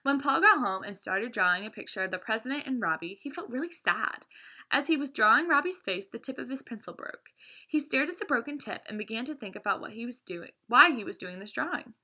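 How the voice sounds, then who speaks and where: A woman's voice: fairly high pitch, brisk. A woman reading a children's story aloud expressively, now and then stumbling and correcting herself. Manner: animated, with stumbles and self-corrections.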